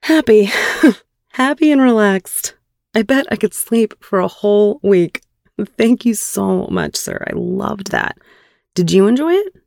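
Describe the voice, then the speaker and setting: A woman's voice: medium pitch, animated, a little slow, creaky, a little mellow, dry recording, clean studio sound, voice pushed up. A woman voicing a submissive, eager, pleading female lover in an audio erotic story. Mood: happy, relaxed.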